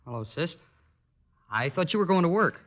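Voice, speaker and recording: A man's voice: on the high side, big pitch swings, normal speed, lo-fi sound, heavy background noise. An actor playing a bitter, defensive teenage boy with an American accent in an old-time radio drama. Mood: casual, evasive. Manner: slangy, defensive, emotional. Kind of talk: 1940s-50s American radio drama acting.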